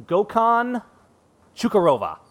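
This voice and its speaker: Male podcast host, slightly raised pitch, upbeat and self-deprecating, keeps a loose panel chat moving. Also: wildly swooping pitch, slow pace, a loud crowd. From Central Washington. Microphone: studio podcast mic.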